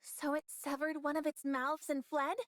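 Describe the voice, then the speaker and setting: Squeaky-high, fretful girl fighter. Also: little pitch movement, normal speed, fairly bright tone, no room sound, studio quality. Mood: worried, exasperated. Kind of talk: English game cutscene dub acting.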